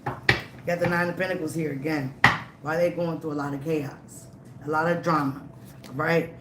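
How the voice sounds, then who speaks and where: A tarot reader giving a live reading, emphatic and repetitive, slightly raspy from a cold. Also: medium pitch, steady tone, normal speed, echoey room, heavy background noise. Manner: emphatic, repeats phrases, frequent "okay?" and "all right?".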